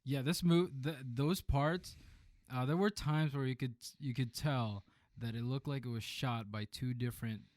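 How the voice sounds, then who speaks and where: A man's voice: medium pitch, moderate pace, darkish tone, no echo, studio quality. A man co-hosting a podcast, casually reviewing a movie and often rephrasing mid-sentence. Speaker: film review podcast co-host.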